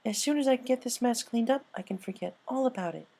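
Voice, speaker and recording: Woman playing an anxious young pony girl, very high pitch, sheepish, over-assuring, cartoon story. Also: a little fast, gravelly, mellow. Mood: reassuring, nervous.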